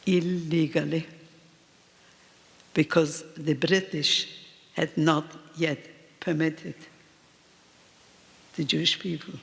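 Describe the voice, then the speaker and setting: A woman in her eighties, a native Polish speaker, speaking in slow, halting short phrases as a guest at a live talk in a reverberant hall. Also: sits low, vocal fry. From Poland. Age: 80s. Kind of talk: answering on stage in a live talk. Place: event hall with audience.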